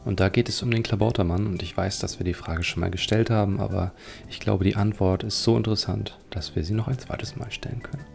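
A man's voice: lowish voice, steady tone, brisk, gravelly. A man hosting a let's play, giving leisurely, reflective commentary on a video game.

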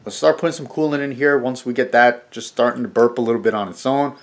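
Car-vlog guy, thirties, hoarse and sniffly, casual self-deprecating chatter into a handheld camera in a garage. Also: mid-range voice, steady tone, fast talker. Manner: chatty, rambling, self-deprecating, frequent restarts. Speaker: car vlogger. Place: home garage, then inside a parked car. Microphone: handheld camera at arm's length.

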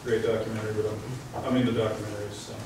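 A young man hosting a student club meeting, introducing a guest speaker in a halting, filler-heavy way in a lecture hall. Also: on the low side, flat delivery. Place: large lecture hall, indoors. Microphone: far camera, no mic seen. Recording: echoey hall, distant mic, background noise.

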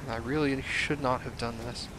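Slightly raspy young man chatting over gameplay. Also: mid-range voice, fairly even intonation, moderate pace, noisy background. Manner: rambling, self-deprecating asides. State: hoarse from recovering cold. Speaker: let's play gamer. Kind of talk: casual gameplay commentary.

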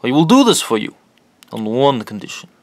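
An actor playing a menacing, brooding foreign hired killer in a radio drama, with deliberate, theatrical pauses and sudden swells. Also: male voice, mid-range voice, big pitch swings, no room sound, natural voice. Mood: brooding intensity. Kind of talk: comic-book radio drama acting.

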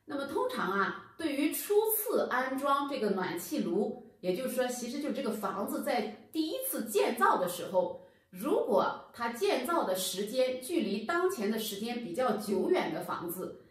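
A woman's voice: a little high, big pitch swings, normal speed. A woman in her thirties giving a patient, step-by-step home-heating lesson, speaking straight to viewers. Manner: patient, explanatory, addresses viewers directly. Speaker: HVAC channel presenter. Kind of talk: instructional explainer. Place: plain indoor room against a bare wall. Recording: very echoey room, voice from far off.

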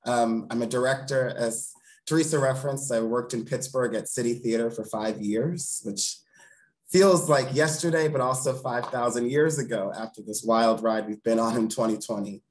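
A man's voice: medium pitch, moderate pace, poor audio. A man in his thirties, a theatre director, talking casually as a guest on a video call from his living room. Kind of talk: casual conversational interview.

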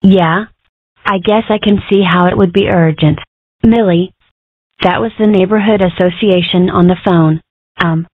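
A woman's voice: fairly low pitch, fairly even intonation, unhurried, dry recording. An exasperated mother fox voiced by a woman in a comic strip cartoon. The recording is narrow and tinny like a phone, and very dry. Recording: thin, band-limited, phone-like sound.

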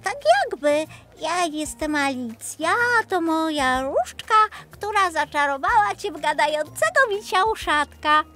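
A woman's voice: high voice, animated, unhurried, few pauses, sharp tone, faint music behind, pitched above the performer's own voice, heavily acted. A woman voicing a bold, playful five-year-old girl who casts spells in a singsong, childlike way in a children's story. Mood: playful, eager.

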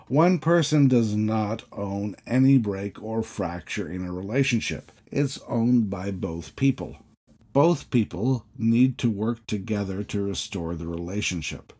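A man, a priest, giving a measured sermon full of questions, each sentence opening higher and settling low. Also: a little mellow. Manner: measured, questioning preaching with rising openings and falling endings.

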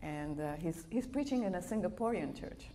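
A middle-aged woman missionary who grew up in Bolivia, preaching in a church and telling personal stories in an animated way, with a slight accent. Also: lowish voice, moderate pace, slight background noise. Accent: foreign accent of Italian and Latin American upbringing. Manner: animated, laughing, with playful quoted voices. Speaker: guest missionary speaker. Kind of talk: sermon with personal storytelling. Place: church auditorium with a stage. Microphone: handheld microphone at a pulpit, wide camera.

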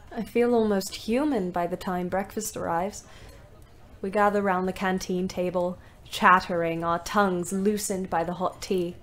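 A young British woman ambulance driver played by an actress narrates her wartime diary for an audio drama. She is wry and resentful, with an emphatic delivery at a middle pitch. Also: natural voice. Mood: wry, resigned. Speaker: actor narrating an audio play.

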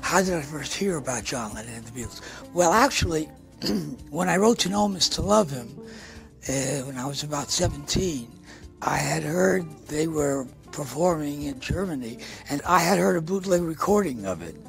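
Older man, gravelly voice, reflective interview, faint music under. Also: fairly high pitch, animated, moderate pace. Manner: reflective, conversational. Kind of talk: sit-down interview recollection.

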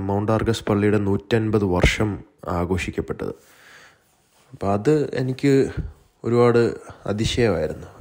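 A man giving a measured, explanatory documentary voice-over as the narrator of a video. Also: fairly low pitch. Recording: music bed, some dull echoey passages. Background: music.

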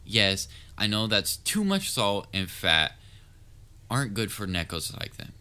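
A man's voice: fairly low pitch, very animated, unhurried, bright, forceful tone, smooth, exaggerated voice. A man playing a patient, sometimes exasperated but caring young babysitter in a roleplay audio drama, talking in a casual, coaxing way. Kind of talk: roleplay audio drama, speaking directly to listener.

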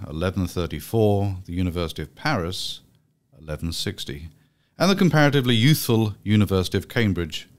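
A middle-aged man hosting an interview podcast in a small office room, speaking in a measured, formal way into a studio mic. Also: lowish voice. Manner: measured, formal delivery with fillers in chat. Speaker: podcast host. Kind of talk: scripted intro then interview. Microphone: studio mic with pop filter.

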